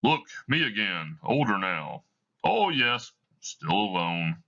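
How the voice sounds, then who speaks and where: A man's voice: fairly low pitch, very animated, slow, gravelly, sharp tone, highs cut off, full character voice. A man reading aloud as a bitter old miser, grieving and full of regret, with a broken, mournful delivery. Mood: regretful. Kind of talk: classroom read-aloud of a play.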